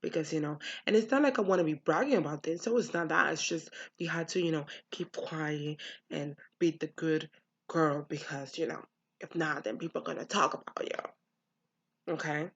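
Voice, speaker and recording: A woman's voice: deep voice, moderate pace, husky, muffled recording. A young adult woman from the United States talking bluntly and frankly to camera about her upbringing, swearing freely. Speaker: vlogger. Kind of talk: candid personal monologue.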